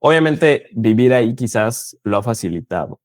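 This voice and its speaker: A man's voice: mid-range voice, expressive, normal speed, darkish tone, no echo, studio quality. A man hosting an interview, asking curious, probing questions in a casual style. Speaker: interviewer, host.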